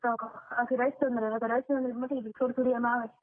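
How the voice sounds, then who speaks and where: A woman's voice: a little high, flat delivery, brisk. A woman, chatting casually. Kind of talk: casual phone chat. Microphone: phone line. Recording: narrow, tinny phone call recording.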